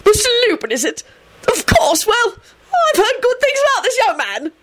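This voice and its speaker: High-pitched, blustering little government minister. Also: big pitch swings, normal speed, a bit bright, heavily acted. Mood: nervous, falsely jovial. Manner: babbling, flustered, falsely jovial. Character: pompous, terrified, blustering portly little male government minister.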